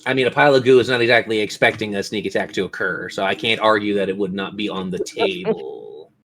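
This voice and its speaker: A man's voice: fairly low pitch, quick pace, gravelly, a bit bright. A man acting as game master, narrating vividly and ruling casually on a tabletop game. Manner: descriptive, vivid monster narration.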